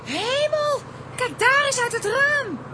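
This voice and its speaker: A woman's voice: very high-pitched, expressive, takes it slowly, bright, forceful tone, slight background noise, heavily acted. A woman voicing a small, anxious, caring little girl adventurer in a children's radio play. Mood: dismayed.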